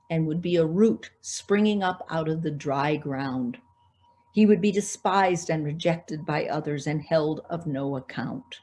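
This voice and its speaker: A woman's voice: on the low side, darkish tone. A woman pastor delivering a prepared, scripted sermon.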